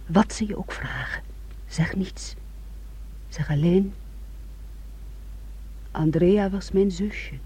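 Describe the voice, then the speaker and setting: An actress in a radio play playing a desperate, possessive young woman who speaks in an intense, halting, intimate way. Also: lowish voice, mellow, slightly rough, heavily acted. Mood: hushed secrecy.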